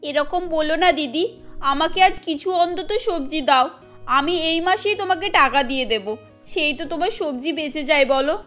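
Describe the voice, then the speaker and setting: A woman's voice: high-pitched, moderate pace, fairly bright tone, faint music behind. A woman voicing an anxious, hard-up young mother in a cartoon, earnest and caring. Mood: pleading. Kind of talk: cartoon story dialogue.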